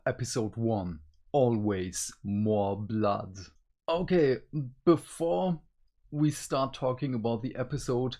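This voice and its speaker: Slow-talking man reviewing casually. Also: a little high, darkish tone. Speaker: co-host of an animation review show.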